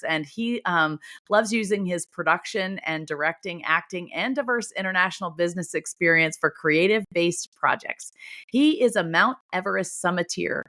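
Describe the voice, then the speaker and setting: A man's voice: sits high, bright, forceful tone. A man hosting a podcast interview in a chatty, rambling way, full of fillers. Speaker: podcast host. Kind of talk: casual interview chat. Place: Chicago.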